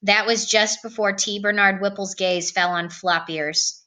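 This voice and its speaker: A woman reading a novel aloud in an expressive storytelling style. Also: medium pitch, unhurried. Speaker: storybook reader.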